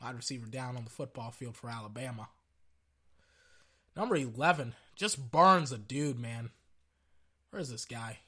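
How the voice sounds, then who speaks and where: A young man hosting a casual sports podcast, brash and excitable, talking through a sore throat as he loses his voice. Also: medium pitch, normal speed, pauses often, husky. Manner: brash and excitable, hypes with "ladies and gentlemen".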